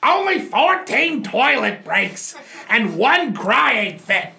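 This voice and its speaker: A boastful, blunt rival dad, very slow and creaky. The voice is pushed high and strained, on a noisy recording. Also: male voice, very animated, sharp tone, full character voice. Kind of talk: amateur comic dramatic reading.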